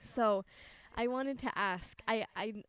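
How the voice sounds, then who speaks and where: Brief: woman host, bubbly and chatty, quick laughs, keeps restarting her sentences. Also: on the high side, a bit bright, clear, resonant tone, dry recording. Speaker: podcast host. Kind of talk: casual interview chat. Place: friend's house.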